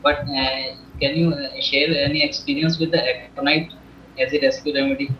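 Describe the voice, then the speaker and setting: An Indian-accented man speaks in earnest, measured explanations, like a homeopath co-hosting an interview. His voice sits at an ordinary male pitch, captured over a video call. The sound is muffled and echoey, as if from a bare room. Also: little pitch movement, slight background noise. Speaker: homeopath co-host.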